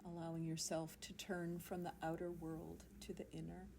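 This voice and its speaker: A woman leading a guided yoga nidra meditation in a soothing, unhurried manner, leaving long pauses between phrases. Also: on the low side, monotone, gravelly. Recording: amateur recording with faint background noise.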